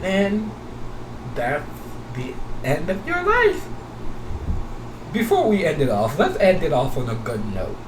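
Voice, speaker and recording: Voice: mid-range voice, huge pitch swings, slow, long pauses. A gaming video host giving casual commentary and a sign-off, gushing and repeating phrases over and over. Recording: noisy, echoey room, distant mic.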